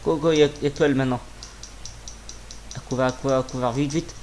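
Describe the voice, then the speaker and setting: A slightly hoarse man with a sore throat giving casual, informal gameplay commentary, muttering to himself and swearing. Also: mid-range voice, steady tone, quick pace, a little mellow, slight background noise. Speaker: gaming video creator.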